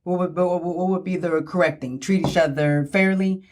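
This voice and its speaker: A middle-aged woman co-hosting in casual conversation, halting and repeating her words for emphasis. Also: on the low side, fairly even intonation, darkish tone. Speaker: podcast co-host asking questions.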